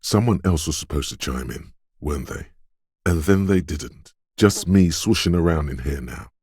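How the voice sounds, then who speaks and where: Very deep, knowing inner-voice game narrator. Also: male voice, animated, moderate pace, darkish tone, slightly rough, no echo, studio quality, full character voice. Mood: alert, probing. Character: detective's inner voice of quick reflexes, alert, wry narrator. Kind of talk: dramatic video game narration.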